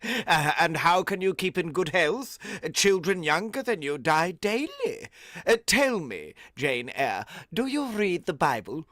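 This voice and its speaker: Slow, pompous, sanctimonious schoolmaster acted out. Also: medium pitch, very animated, fairly bright tone, dry recording, studio quality. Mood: stern, pious. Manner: slow, preachy, condescending. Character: pompous, sanctimonious male schoolmaster scolding a child. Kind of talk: vintage radio drama acting.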